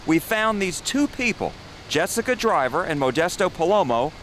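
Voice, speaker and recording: A man's voice: sits high, very animated, normal speed, fairly bright tone, a little hiss or hum, low-quality recording. A man working as an investigative reporter, reading scripted news narration.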